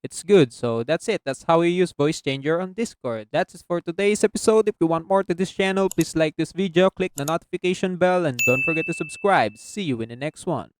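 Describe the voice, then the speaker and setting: A man hosting a casual software tutorial as a voice-over. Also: fairly high pitch, a little fast, few pauses, noisy background. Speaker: tutorial host. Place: close, dry indoor voice-over recording.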